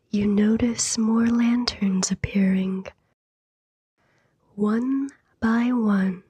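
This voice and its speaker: A soft-spoken woman guides a sleep meditation in a very breathy whisper. Her tone is mellow and soothing, and she moves extremely slowly, leaving long pauses between short phrases. The sound is close and dry. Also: mid-range voice, no room sound.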